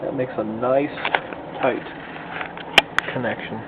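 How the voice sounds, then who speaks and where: A man's voice: mid-range voice, steady tone, slow pace. A folksy, plain-spoken man giving a casual hands-on tutorial at a home workbench, picked up by the camera's microphone. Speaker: hobbyist radio restorer giving a demonstration. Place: indoor home workshop bench. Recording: muffled phone-like audio, loud room hum.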